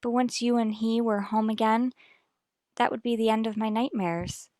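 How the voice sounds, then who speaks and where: A woman's voice: fairly high pitch, fairly even intonation, moderate pace, darkish tone, no room sound, natural voice. Actress as anxious radio soap mother, dry sound. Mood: apprehensive. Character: anxious, troubled mother and wife, polite and guarded. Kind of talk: mid-century radio soap acting.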